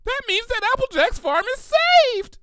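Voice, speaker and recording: A man voicing an excitable young female cartoon pony who gushes and exclaims in a bubbly way. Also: extremely high pitch, no room sound, voice pushed up, full character voice. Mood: ecstatic. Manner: exclaiming, bubbly, breathless rapid-fire gushing.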